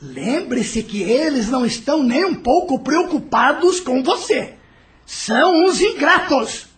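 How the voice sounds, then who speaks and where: Um ator interpretando um espírito maligno dominador, debochado e manipulador em uma novela de áudio. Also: male voice, extremely high pitch, sing-song, a little slow, a bit bright, heavily acted. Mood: bossy scheming. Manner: mocking, manipulative, theatrical. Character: domineering, scheming male evil spirit, sly and vengeful. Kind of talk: audio drama acting.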